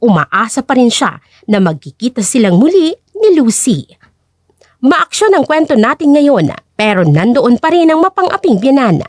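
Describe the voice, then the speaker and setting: A woman's voice: sits high, sing-song, moderate pace, darkish tone, no echo, studio quality. A woman narrating a scripted story dramatically as a storyteller and host.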